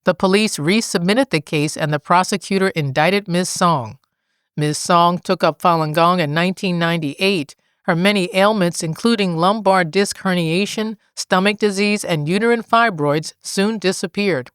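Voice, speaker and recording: A woman reading scripted news in a measured, formal manner. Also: fairly low pitch, smooth, dry recording, studio quality. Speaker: news reader.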